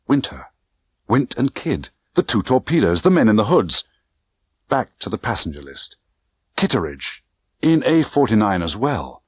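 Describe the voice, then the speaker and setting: A man's voice: fairly low pitch, very animated, normal speed, slightly rough, dry recording, clean studio sound. A man reading a thriller audiobook in a tense, theatrical manner with clipped short phrases. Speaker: audiobook narrator. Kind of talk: dramatic scripted narration of a spy thriller novel.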